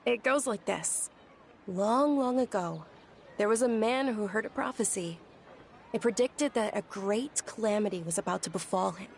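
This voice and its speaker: An actress playing a shy, gentle young woman who tells an old legend, speaking softly and hesitantly, with game music behind. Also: medium pitch, vocal fry, a little hiss or hum, natural voice. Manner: soft, hesitant, stammers when nervous. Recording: game audio with background music.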